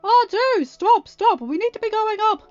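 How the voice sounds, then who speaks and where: A woman's voice: extremely high pitch, animated, normal speed, no room sound, pitched above the performer's own voice. A woman voicing an exasperated male Jedi master in a story reading, with a bossy, exclamatory, dry manner. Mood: commanding. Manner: exclamatory, bossy, dryly quipping. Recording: dull, band-limited audio with background music.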